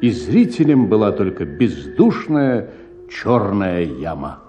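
A man's voice: fairly low pitch, very animated, takes it slowly, warm tone, highs cut off, slight background noise. A man narrating a literary short story for a radio drama in a scripted style.

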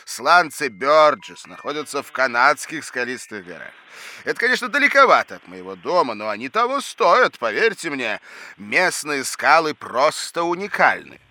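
A man's voice: medium pitch, sing-song, normal speed, sharp tone. A man playing a fussy, indignant, absent-minded professor in a children's educational audio drama, with a pompous, exasperated theatrical delivery. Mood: pompous, lecturing.

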